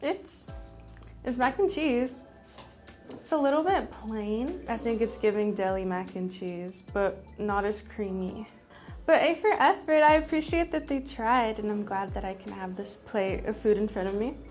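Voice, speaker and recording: A woman's voice: mid-range voice, expressive, a little slow, a little mellow, faint music behind. A young woman in her twenties from Los Angeles, chatting casually and bubbly to viewers as a contestant. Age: 20s. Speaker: contestant, esthetician. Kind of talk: casual chat to camera.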